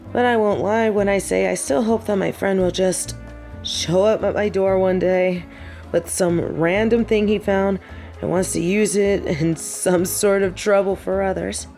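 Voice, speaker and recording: Heavy echo and music surround a young woman played by a voice actress, set back from the mic. She is a wistful, defiant biker confiding her memories on a date in an audio drama. Her pitch sits at an ordinary middle height. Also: warm tone, lightly acted. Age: young adult. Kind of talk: scripted audio roleplay monologue. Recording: very echoey, distant sound with music behind.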